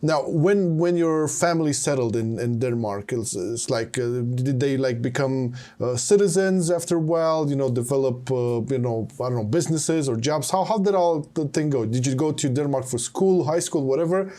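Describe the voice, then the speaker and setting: Halting podcast host from Romania. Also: male voice, mid-range voice, dark tone. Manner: curious, halting questions with fillers. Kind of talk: casual podcast interview.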